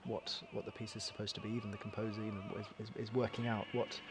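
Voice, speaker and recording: A man's voice: fairly low pitch, level tone, fast talker. A male opera singer in a promotional interview, speaking eagerly and thinking aloud with repeated words.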